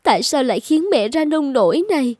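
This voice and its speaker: A woman's voice: sits high, expressive, brisk, darkish tone, dry recording, studio quality. An actress playing a wronged, sorrowful young daughter and mother, plaintive and lamenting, in fairy-tale dubbing.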